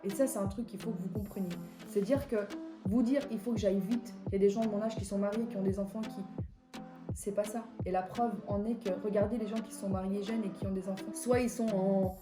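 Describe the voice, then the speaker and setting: A woman from Belgium talks casually with plenty of fillers and restarts. Her voice is mid-pitched and sits in a roomy, echoing space, with soft music underneath. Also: fairly even intonation, fast talker. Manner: chatty, gives life advice, many fillers. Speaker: beauty vlogger answering questions. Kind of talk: casual chat.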